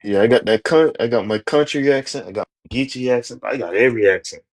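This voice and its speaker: A man's voice: medium pitch, quick pace. A man joking and teasing his friends in a casual group chat.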